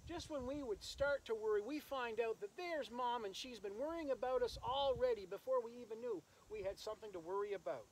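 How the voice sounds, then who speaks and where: A man, a minister, speaking solemnly and with pauses at a graveside service, reading scripture, praying and preaching outdoors. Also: very high voice, expressive, poor audio. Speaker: minister officiating a funeral. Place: outdoors in an open rural cemetery. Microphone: camera several metres away. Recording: outdoor recording with insect and nature noise.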